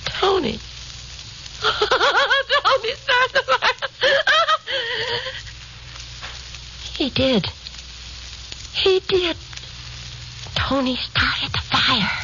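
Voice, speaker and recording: Lonely bedridden mother, radio drama, high and slow, plaintive, insistent, bitter, hissy vintage recording. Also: sing-song, sharp tone, highs cut off, exaggerated voice. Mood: hysterical. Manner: plaintive, insistent, brooding speech in short repeated phrases. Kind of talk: 1950s radio drama acting.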